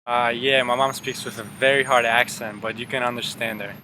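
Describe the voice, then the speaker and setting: A man's voice: medium pitch, brisk, slight background noise, poor audio. A young man whose first language is Polish, answering interview questions casually and hedging with "um" and "like" as he goes. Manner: relaxed, hedging with "um" and "like". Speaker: student interviewee.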